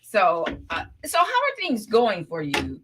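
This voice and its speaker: A woman's voice: mid-range voice, wildly swooping pitch, a little slow, noisy background. A woman from Saginaw, Michigan, hosting a casual radio interview with an encouraging manner and frequent affirmations. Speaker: radio show host. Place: radio studio.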